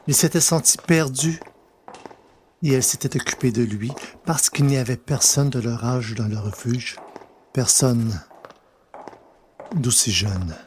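Slow, deliberate male storyteller. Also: mid-range voice, a little mellow, slight background noise. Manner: deliberate, pausing between phrases. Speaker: narrator. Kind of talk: scripted literary narration.

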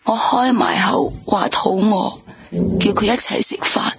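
An actress playing a weary young nightclub hostess in a radio drama, speaking Hong Kong Cantonese, tired and defensive, sometimes dropping to a whisper. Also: female voice, mid-range voice, measured pace, gravelly. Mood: weary, bitter.

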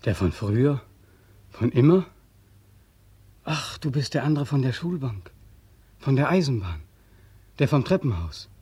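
Despairing young ex-soldier, husky mid-range voice, embittered and agitated, 1940s radio drama. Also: male voice, mid-range voice, expressive, measured pace, pauses often, warm tone, no echo. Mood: wary, questioning. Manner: agitated, repetitive, anguished.

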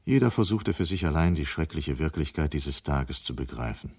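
A man narrating a 1970s German radio play in a grave, deliberate, dramatic manner. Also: extremely low pitch, expressive, darkish tone, breathy edge, exaggerated voice. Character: grave male storyteller of a city's destruction.

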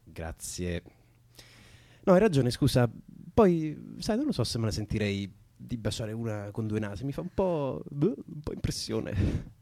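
A very dry, close recording of an awkward young man in a radio play. His voice is mellow and swings widely in pitch, hesitant and wryly joking. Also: sing-song, a little slow, gravelly, no room sound. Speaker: radio drama actor. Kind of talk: naturalistic Italian radio drama dialogue.

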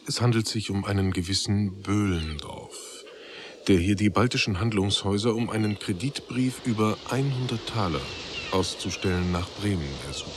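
A man's voice: lowish voice, normal speed, lightly acted. An actor playing a dry, matter-of-fact townsman who reports news in a clipped, businesslike way in a radio drama. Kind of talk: German radio drama acting. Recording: clear background noise.

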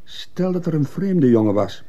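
A man playing a troubled schoolteacher in a radio drama, speaking with restraint and quiet insistence. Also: fairly high pitch, expressive, normal speed, lightly acted. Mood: probing, insistent. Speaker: actor in a radio play. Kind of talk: Dutch-language radio drama acting.

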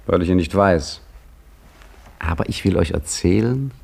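A wry old caretaker spins his story in a dry, gently teasing way. His voice sits very low, with a gravelly crackle and a husky edge. It is 1950s radio drama storytelling. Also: lively intonation, unhurried, full character voice. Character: aging Egyptian embassy caretaker, wry and patient. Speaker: narrator and main character. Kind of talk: 1950s radio play acting.

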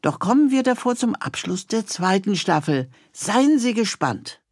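High-pitched, gravelly man presenting. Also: sing-song, measured pace, dry recording, clean studio sound. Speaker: presenter introducing the episode. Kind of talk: scripted introduction.

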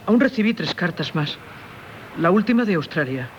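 A woman's voice: lowish voice, animated, moderate pace, close to an everyday voice. An actress with a Castilian Spanish accent playing a tormented married woman haunted by a former lover, in solemn, confessional radio theatre style. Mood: haunted, narrating. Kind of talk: 1970s radio theatre acting. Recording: dull, noisy 1970s radio recording.

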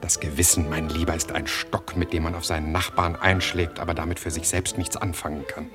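Man playing brash theatre schemer, very low voice, glib and mocking, cynical, radio drama, music clearly behind. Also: level tone, fairly quick, natural voice. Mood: cynical, brisk. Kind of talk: German radio play acting.

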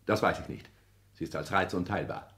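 An ambitious, self-made suitor in a 1960s German radio play. His voice sits fairly low. He speaks with proud, formal courtesy and keeps pressing his case. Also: fairly quick, a bit bright. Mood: controlled, courteous.

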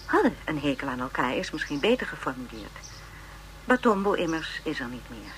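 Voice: medium pitch, sing-song, a bit bright, slight background noise. Donnish lecturer with swooping intonation. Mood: pompous, lecturing. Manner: measured phrasing, pompous, donnish. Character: formal, erudite lecturer narrating an educational film. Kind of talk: scripted documentary narration in a radio play.

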